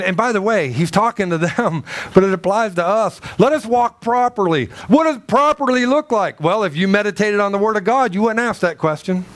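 A man preaching a sermon as pastor, emphatic and animated, with a loud voice that carries, acting out the people in his stories. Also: high-pitched, a little hiss or hum. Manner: loud, carrying voice. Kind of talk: sermon with storytelling.

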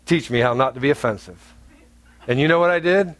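Blunt, joking pastor from North Carolina. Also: male voice, brisk, a bit bright, dry recording. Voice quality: deep, carrying voice. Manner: blunt, humorous, chatty preaching with asides. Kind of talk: sermon. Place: church sanctuary.

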